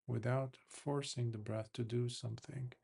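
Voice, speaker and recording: A man, a Buddhist monk, leads a guided meditation in an unhurried, measured way, leaving long pauses between short phrases. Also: medium pitch, fairly even intonation, vocal fry, a little mellow, slightly rough.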